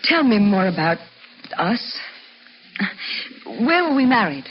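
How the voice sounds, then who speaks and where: An actress playing a sweet wife who is secretly shrewd, in 1950s radio drama style. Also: female voice, medium pitch, sing-song, takes it slowly. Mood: tender, playful. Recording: muffled, hissy vintage radio recording.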